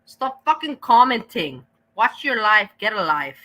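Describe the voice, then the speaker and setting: A woman's voice: a little high, very animated, measured pace, bright, forceful tone, low-quality recording. A woman with an Austrian accent giving a profane, scolding rant while reacting to clips. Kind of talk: ranting reaction commentary.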